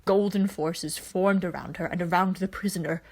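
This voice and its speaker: A woman voicing a frightened, cowed male alien commander in a fan fiction reading, hoarse, pleading and stammering under strain. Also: on the low side, steady tone, normal speed. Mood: nervous, strained.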